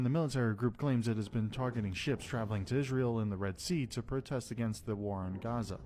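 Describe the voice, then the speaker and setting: A man reading scripted news in an even, formal way. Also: medium pitch, a little hiss or hum. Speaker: news reader.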